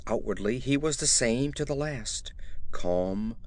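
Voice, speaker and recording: A man narrating a horror story as a dramatic audiobook, in a measured, literary storytelling manner. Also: mid-range voice, expressive, a little mellow.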